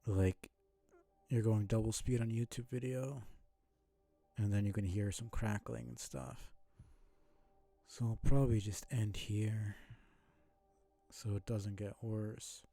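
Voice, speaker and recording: Low-voiced male game streamer. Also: steady tone, slow pace, creaky, long pauses, mellow. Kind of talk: casual live gameplay commentary.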